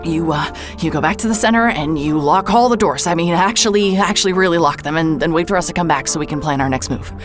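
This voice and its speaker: An actress playing a nervous, urgent investigator who stammers and hesitates. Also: female voice, deep voice, quick pace, hardly any pauses, over music, close to an everyday voice. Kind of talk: audio drama acting.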